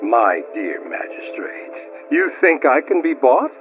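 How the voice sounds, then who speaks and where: A man's voice: mid-range voice, sing-song, slow, fairly bright tone. A man playing a smug, scheming, greedy boss in a video game, with an oily, mock-polite manner. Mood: smug, goading. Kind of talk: cinematic video game acting. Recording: dull lo-fi audio, faint music.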